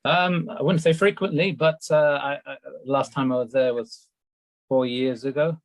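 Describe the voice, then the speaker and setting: A man's voice: medium pitch, fairly even intonation, moderate pace, dry recording. A man on a panel speaking carefully and precisely, with some restarts. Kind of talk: panel discussion.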